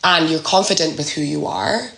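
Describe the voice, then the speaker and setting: A woman speaks in a low voice with plenty of gravelly fry. She is reflective and conversational, halting as she looks for the right words. The room rings noticeably around her. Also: a bit bright, reverberant, poor audio. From Scotland. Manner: halting, thoughtful, searching for words. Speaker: podcast guest sharing a personal story.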